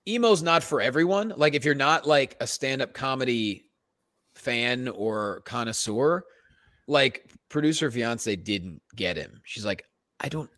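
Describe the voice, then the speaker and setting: A man's voice: medium pitch, measured pace, no echo, studio quality. A man who co-hosts a comedy podcast, telling anecdotes casually and acting out what people say. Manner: animated, acts out quotes.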